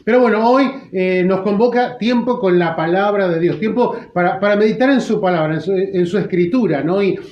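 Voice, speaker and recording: A middle-aged man from Argentina with a faint Argentine accent, preaching a sermon in a relaxed conversational way, with many fillers and restarts. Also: sits high, brisk, few pauses, echoey room, muffled recording. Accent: Argentine Spanish, but weak. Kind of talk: sermon, livestream.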